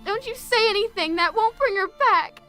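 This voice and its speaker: A woman's voice: very high-pitched, animated, moderate pace, bright, forceful tone, soft background music, heavily acted. A woman playing a grieving young college student who speaks in a raw, bitter, on-edge way. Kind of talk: amateur radio drama acting.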